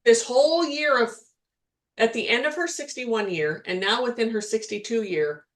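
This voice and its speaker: A middle-aged woman with a fairly high voice co-hosts a relaxed spiritual podcast. She talks in a loose, rambling way, doubling back on her words and laughing often. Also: normal speed. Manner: chatty, repeats and restarts, laughs often. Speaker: podcast co-host, spiritual healer. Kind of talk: casual chat.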